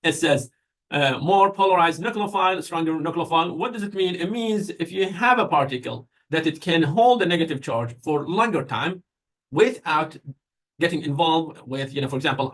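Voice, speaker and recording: Higher-voiced man with a Persian accent, lecturing. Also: expressive, brisk, a bit bright. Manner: conversational, rhetorical questions to students. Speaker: university chemistry lecturer. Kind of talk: informal classroom lecture.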